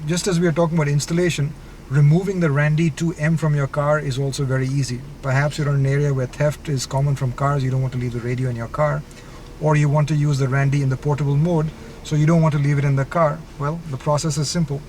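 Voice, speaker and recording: A man with a slightly high voice gives a casual product demo from inside a car. He explains each step in an informal way, with clear vehicle noise behind him. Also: flat delivery, fast, darkish tone. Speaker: product demonstrator. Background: vehicle ambience.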